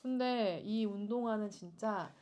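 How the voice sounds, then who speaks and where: A woman's voice: mid-range voice, measured pace, dark tone, a little hiss or hum. A woman chatting casually as she reviews products with a friend, telling her stories emphatically and stretching words for stress. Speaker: co-host of a fashion shopping show.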